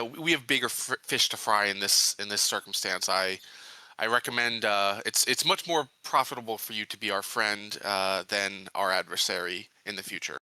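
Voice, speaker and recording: A man's voice: fairly low pitch, sharp tone, clean tone, dry recording, rough recording. A man playing a tabletop game, talking casually and hesitantly, feeling out his words as he negotiates. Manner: hesitant, deliberate, frequent pauses.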